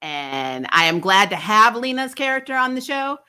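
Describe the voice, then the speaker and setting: A woman's voice: medium pitch, very animated, moderate pace, pushed and bright, smooth. A woman hosting a TV recap show in casual, opinionated chat, blunt and emphatic with frequent swearing.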